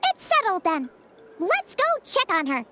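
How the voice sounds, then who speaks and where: A woman voicing a small, childlike fairy companion in an anime-style video game, chirpy, blunt and excitable, with a squeaky voice. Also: measured pace, heavy background noise, full character voice. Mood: thoughtful. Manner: refers to self in third person, exclamatory.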